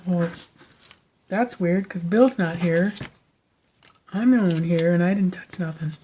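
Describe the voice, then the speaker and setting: A woman hosting a crafting channel, talking casually at home with a raspy voice, rambling from story to story with lots of fillers and no microphone on. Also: medium pitch, darkish tone, a little hiss or hum. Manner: chatty, digressive, lots of fillers. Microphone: no microphone, camera mic.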